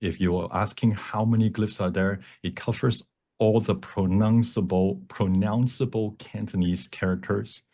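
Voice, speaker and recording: A man presenting a live software demonstration at a workshop, explaining as he goes in a halting way. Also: on the low side, fairly even intonation. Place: online presentation at a computer.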